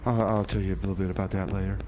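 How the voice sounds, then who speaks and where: A man's voice: deep voice, little pitch movement, very fast, mellow, a little hiss or hum, low-quality recording. A man hosting a community radio music show, loose and chatty, repeating himself as he introduces songs and banters. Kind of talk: casual music show presenting with comedy banter.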